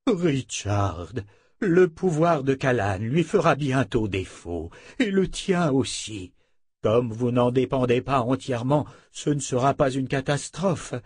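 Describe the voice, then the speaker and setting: Man putting on a frail, sharp-tongued old abbess. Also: medium pitch, animated, slow, no echo. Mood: grave, tired. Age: older. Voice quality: breathy, drained.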